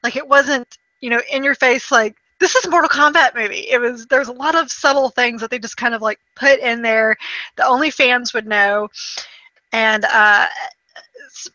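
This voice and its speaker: Bright, high-voiced woman podcaster. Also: normal speed, no room sound, lo-fi sound. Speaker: podcast co-host. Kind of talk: casual conversational chat.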